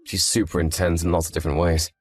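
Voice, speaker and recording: A man's voice: low-pitched, little pitch movement, fast talker, dry recording. A man playing an earnest, frank young husband in scripted story dialogue, speaking expressively. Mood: chatty, frank.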